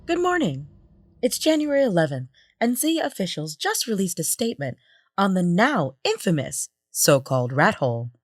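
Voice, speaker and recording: A woman playing a lively morning news anchor in an audio drama parody broadcast. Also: mid-range voice, normal speed, dry recording, studio quality. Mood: bright, newsy.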